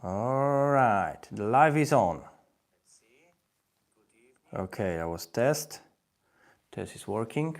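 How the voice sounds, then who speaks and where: A man's voice: mid-range voice, animated, slow pace, long pauses. A man in his thirties with a Polish accent hosting a language-learning live stream, repeating phrases and coaxing viewers to comment. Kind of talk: live-stream webinar talk.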